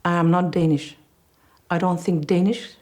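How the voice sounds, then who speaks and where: A woman politician speaking firmly and emphatically in an interview, with clear pride. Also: on the low side, fairly even intonation, normal speed, a little mellow. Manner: firm, emphatic, proud. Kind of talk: interview answer.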